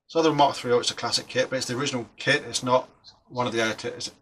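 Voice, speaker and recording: A man's voice: mid-range voice, steady tone, very fast, a bit bright, slight background noise. A middle-aged man chatting casually while building a model aircraft, rambling and often restarting his sentences.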